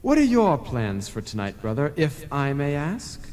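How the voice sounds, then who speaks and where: A man's voice: a little high, huge pitch swings, unhurried, a little mellow. A man playing an officious, preachy ghost messenger in an old-time radio play, theatrical and formal. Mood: smug, cheerful. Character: officious, preachy male ghost messenger, cautioning his brother. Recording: long reverb, analogue radio broadcast tape.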